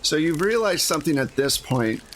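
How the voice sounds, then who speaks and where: A man's voice: on the high side, very animated, moderate pace, fairly bright tone, slight background noise. A man running a tabletop role-playing game as game master, explaining rules and scenes in a casual, conversational way. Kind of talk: casual tabletop actual-play narration.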